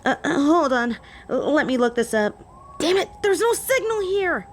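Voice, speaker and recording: A woman's voice: sing-song, measured pace, a little hiss or hum. A woman voicing a haughty, jealous female vampire in a roleplay audio drama, snippy, indignant and easily flustered. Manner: snippy, indignant, higher-pitched.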